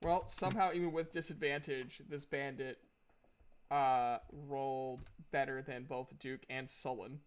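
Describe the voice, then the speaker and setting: A man's voice: medium pitch, steady tone, measured pace, a little hiss or hum. A man narrating and refereeing a tabletop fantasy game as game master in an informal, casually sweary way.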